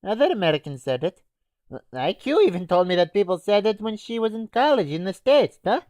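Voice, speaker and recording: A woman's voice: medium pitch, sing-song, brisk, a little mellow, no room sound, lightly acted. A woman voicing a blunt, cocky male Russian soldier from a game, with a Russian accent and mocking, clipped phrasing. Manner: brash, mocking interjections, clipped foreign phrasing.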